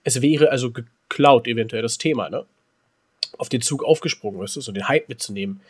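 A man's voice: medium pitch, brisk, slightly rough. A man chatting casually as a podcast co-host, telling stories in a colloquial, emphatic way. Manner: colloquial, emphatic, mild swearing. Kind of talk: casual podcast chat and storytelling.

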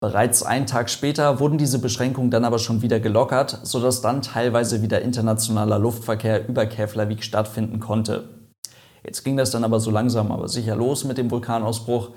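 A man's voice: medium pitch, steady tone, a little fast, darkish tone. A man hosting an online aviation video, explaining events in a chatty, lightly joking way. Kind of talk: casual explainer narration.

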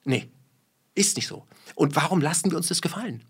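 A man's voice: a little high, expressive, brisk, husky. A man from Germany, talking in a heated conversation with emphatic rhetorical questions and blunt swearing.

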